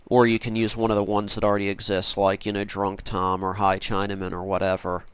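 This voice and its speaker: A man's voice: on the low side, monotone, a little fast, clean tone. A congested man explaining his plans for an online event in a loose, rambling way, often restarting his sentences. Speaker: organizer of an online prank call event. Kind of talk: casual spoken explanation.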